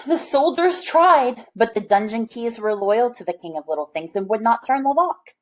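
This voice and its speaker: A woman's voice: a little high, expressive, normal speed, a little mellow. A woman reading a children's picture book aloud, expressively, with chatty asides to young listeners. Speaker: storybook reader.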